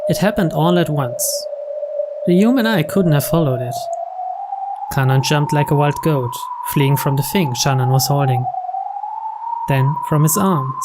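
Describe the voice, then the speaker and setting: A man's voice: a little high, heavy background noise. A man reading a visual novel's story aloud as a dramatic narrator. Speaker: video game let's play narrator.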